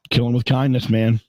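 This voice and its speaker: A man hosting a casual podcast chat, hoarse and clearing his throat often. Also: mid-range voice, darkish tone, no room sound. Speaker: podcast host.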